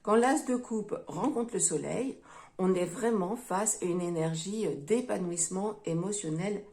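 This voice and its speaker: A woman gives a tarot and astrology reading straight to her audience, calling them her friends. She takes her time, and her delivery is slow and mellow. Small hesitations thread through her explanations. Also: medium pitch. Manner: gentle, unhurried, with frequent hesitations; addresses viewers as friends. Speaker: tarot reader.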